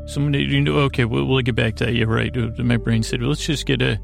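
A man hosting a bedtime podcast in a rambling, halting, goofy and self-deprecating way, with a creaky, gravelly voice. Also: medium pitch, steady tone, soft background music. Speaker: podcast host.

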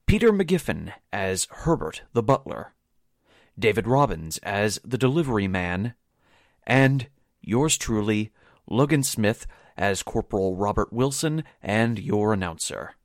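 A man's voice: fairly low pitch, lively intonation, measured pace, dry recording, clean studio sound. A man announcing in a scripted, courteous and formal way, as the presenter of a radio drama. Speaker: announcer and cast member.

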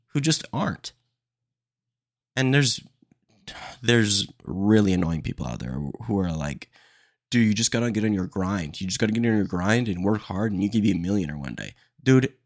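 Gravelly nasal young man ranting. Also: lowish voice. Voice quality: nasal from allergies. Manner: blunt, opinionated, says "dude" a lot. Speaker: podcast host. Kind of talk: casual comedy podcast rant.

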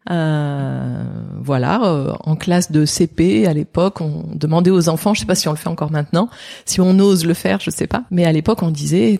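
A woman pastor in her fifties from France talks in a gravelly, mellow voice. She tells her story reflectively and hesitantly, with many fillers. The interview takes place indoors. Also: fairly low pitch. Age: 50s. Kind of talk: interview conversation. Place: indoors, office.

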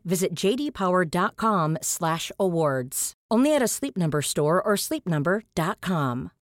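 A woman's voice: on the low side, dry recording, clean studio sound. A woman narrating a scripted advertisement as a voice-over.

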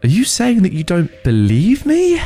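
A man's voice: on the high side, wildly swooping pitch, normal speed, darkish tone, faint music behind, pitched above the performer's own voice, heavily acted. A man voicing a frightened, anxious young woman who pleads insistently in a horror story. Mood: anxious, defensive. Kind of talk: first-person horror storytelling dialogue.

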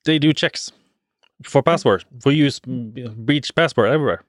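A man's voice: mid-range voice, no echo, clean studio sound. A man from Norway, a podcast guest, explaining a work story with many halts and restarts. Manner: halting, with many restarts and fillers. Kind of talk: casual podcast chat.

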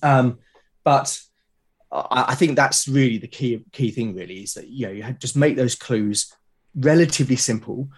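A British man talking casually as an interview guest, explaining things haltingly and often repeating his words. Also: mid-range voice, husky. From Britain. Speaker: podcast guest, game master and podcast creator. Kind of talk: casual conversational interview talk.

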